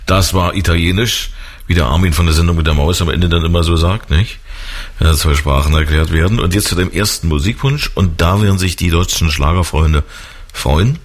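Male radio host, very deep voice, chatty, lots of fillers. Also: brisk. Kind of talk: radio interview.

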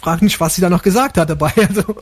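A man with a high voice answers a radio host's questions. He keeps his replies short and relaxed and laughs easily. He grew up bilingual. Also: fast, breathy edge. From Germany. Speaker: guest. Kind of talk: radio interview.

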